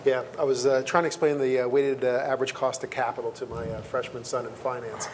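A man's voice: medium pitch, flat delivery, quick pace, a little hiss or hum. A middle-aged man moderating a panel on a conference stage in a conversational way. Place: conference stage before an audience.

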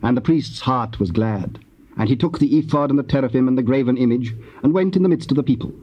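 Male narrator, measured scripture reading, mid-pitched and husky, muffled cassette sound. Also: a little hiss or hum. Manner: measured, formal. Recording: dull old cassette audio.

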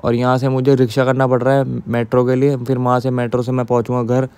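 A young man records a close, dry voice-over for his vlog. His voice is smooth and clear but sits almost on one note. He sounds completely drained and exhausted as he speaks. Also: mid-range voice, no echo. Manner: drained, flat, slow. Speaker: vlogger. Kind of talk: voice-over narration. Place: riding in an auto rickshaw.

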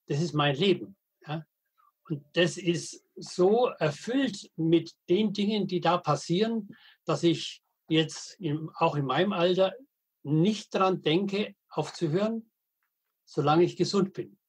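A man, telling anecdotes as an interview guest with halting, hesitant phrasing and dry humor. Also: on the high side, breathy edge. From Middle Franconia, Germany. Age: 80s. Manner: halting, frequent hesitations and restarts. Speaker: guest, veteran wastewater engineer and editor. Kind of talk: podcast interview, storytelling.

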